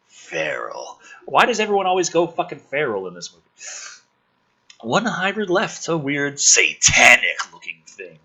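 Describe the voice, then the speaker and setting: Male script reader, very forceful and sharp, husky tone, big pitch swings, sarcastic and sweary. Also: highs cut off. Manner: sarcastic, exasperated, profane; theatrical delivery with sudden emphasis. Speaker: host reading and mocking a film script. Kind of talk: dramatic script reading with sarcastic running commentary.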